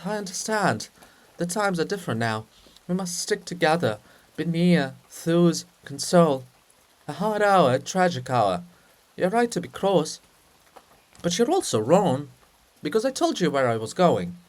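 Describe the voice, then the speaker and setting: Guy doing a fussy scheming statue statesman from a play, wheedly, kinda high voice, pitch jumps all over. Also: sing-song, a little slow. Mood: wheedling, placating. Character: sly, fussing, scheming male statue of a statesman. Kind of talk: dramatic play reading.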